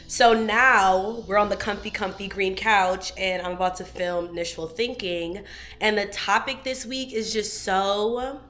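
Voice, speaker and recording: A woman's voice: mid-range voice, fairly bright tone. A young woman with a stuffed-up nose chatting casually to her vlog camera at home, playful and full of slang. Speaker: vlogger and podcast host. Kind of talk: casual day-in-the-life vlog chat. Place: apartment living room, indoors. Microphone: camera on a tripod.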